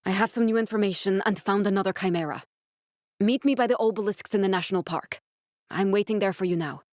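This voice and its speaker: Game role: guarded, earnest woman scientist played by an actress, medium pitch, naturalistic measured delivery, studio-dry sound. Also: darkish tone, no room sound, close to an everyday voice. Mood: calm, instructive. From born in Norway, raised in Glasgow (character). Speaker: video game character voice. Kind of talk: naturalistic video game dialogue acting.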